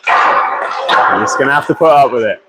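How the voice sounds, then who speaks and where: A British man with a croaky voice from a cold, chatting and then commentating live on an amateur ice hockey game from a small media box. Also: medium pitch, moderate pace, very noisy. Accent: British English. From Britain. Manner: chatty and apologetic, excitable on goals.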